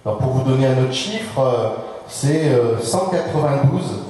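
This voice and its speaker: A man, a local elected official, delivers a formal speech at a public meeting in a measured, halting way, often hesitating and repeating words. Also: medium pitch, lively intonation, dark tone. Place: large public meeting hall, indoors. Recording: very echoey hall, distant microphone.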